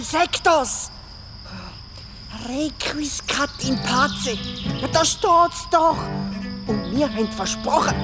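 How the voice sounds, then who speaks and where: An excitable medieval boy in a children's audio drama, speaking Swiss German. He pleads and scolds unhurriedly in a high voice, with loud music underneath. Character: anxious, excitable medieval peasant boy chasing his goat. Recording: loud music bed behind voice.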